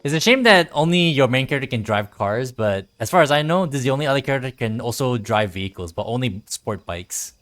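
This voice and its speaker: A man's voice: mid-range voice, animated, quick pace, hardly any pauses, a bit bright. A man livestreaming a video game, chatting casually and easygoing with viewers as he plays. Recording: music playing behind the voice. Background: game music.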